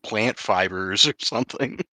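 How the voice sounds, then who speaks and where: A man's voice: fairly low pitch, a little slow, sharp tone, no echo. A man speaking as game master, narrating a tabletop role-playing game in a casual, conversational, jokey way.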